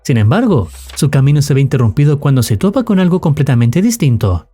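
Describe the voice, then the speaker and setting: Male narrator, fairly high pitch, animated intonation, formal dramatic scripted horror recap, faint music behind. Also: brisk, slightly rough.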